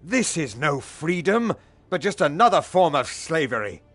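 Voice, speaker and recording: Proud, animated old clan leader. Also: male voice, fairly high pitch, moderate pace, no room sound, faint music behind. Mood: earnest. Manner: formal, emphatic. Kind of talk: fantasy game acting.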